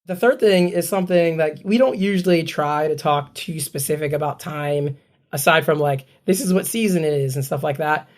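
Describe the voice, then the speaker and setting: A man co-hosting a podcast, his voice scratchy from a lingering cold, chatting in a relaxed, rambling way and reading a guest introduction. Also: a little high. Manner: relaxed, rambling, chuckles often. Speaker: podcast co-host. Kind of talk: casual podcast chat.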